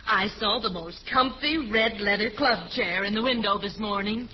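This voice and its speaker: An actress playing a bossy, scolding middle-aged wife in a 1940s radio comedy. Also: female voice, mid-range voice, normal speed, natural voice. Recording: muffled, hissy old radio recording.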